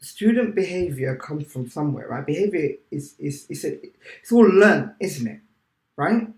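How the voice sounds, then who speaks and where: A man with a fairly high voice talks about teaching in a loose, animated way. He keeps tagging his points with "right?". The sound is roomy and echoey. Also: moderate pace. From United Kingdom. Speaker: teacher, guest. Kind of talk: casual interview chat. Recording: echoey room.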